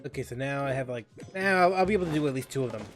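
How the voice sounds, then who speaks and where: A man's voice: mid-range voice, rapid-fire, over music. A man streaming a video game, rambling to himself with chuckles and repeated phrases as he plays. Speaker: gaming streamer. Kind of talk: casual gameplay commentary.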